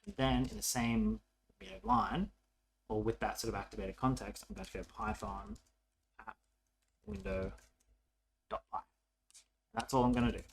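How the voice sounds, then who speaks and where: A man's voice: medium pitch, big pitch swings, normal speed, vocal fry, pauses often, a little hiss or hum, rough recording. A man narrating a casual coding tutorial as an instructor, informal and thinking aloud as he works.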